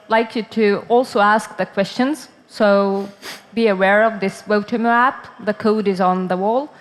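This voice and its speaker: A woman with a mid-pitched voice moderates a conference panel. She speaks haltingly, with frequent pauses and uhs between phrases. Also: rough recording. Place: conference stage.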